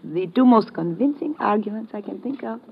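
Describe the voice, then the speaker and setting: An actress playing a sly, flirtatious woman smuggler with an Eastern European accent in a 1950s radio adventure, teasing in measured, formal English. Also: medium pitch, animated, vocal fry. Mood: decisive. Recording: dull, noisy vintage radio recording.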